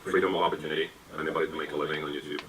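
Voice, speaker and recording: Man, executive interviewee, fast, bright tone, hesitant fillers, distant mic. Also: a bass voice, level tone, slight background noise. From Czechoslovakia. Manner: hesitant, filler-heavy, reassuring. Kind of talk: corporate interview answers. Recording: distant mic with faint ambience.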